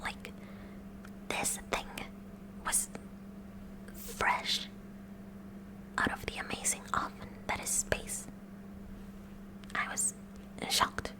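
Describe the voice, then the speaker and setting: A woman's voice: drawn-out words, pauses often, rough recording. A woman playing a kooky, eager teenage science club president in a whispered ASMR roleplay, with nerdy formal wording and a nervous stammer. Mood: excited, awed.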